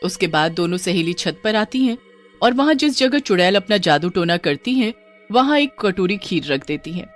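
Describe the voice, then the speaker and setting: A woman's voice: mid-range voice, animated, normal speed. A woman reading a scripted spooky children's story as its narrator. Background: music.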